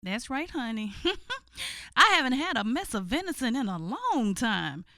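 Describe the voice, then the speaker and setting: A woman's voice: fairly high pitch, big pitch swings, unhurried, pushed and bright, no room sound, studio quality, exaggerated voice. A woman doing a folksy cheerful country wife. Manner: affectionate, folksy. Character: homely rural wife, cheerful.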